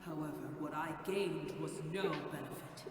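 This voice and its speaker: An actor playing an ancient, proud and tormented dragon in a video game, speaking solemnly and grandly with a resonant voice and heavy reverb. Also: normal speed. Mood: bitter, tormented. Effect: heavy cavernous reverb. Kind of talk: scripted dramatic game voice acting. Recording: long booming reverb, game music underneath. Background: game music.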